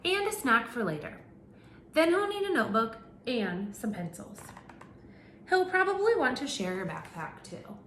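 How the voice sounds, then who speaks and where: A woman's voice: fairly high pitch, normal speed. A woman teacher reading a picture book aloud to young children, asking them questions along the way. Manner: animated storytelling with questions to children. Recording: echoey room with faint hum.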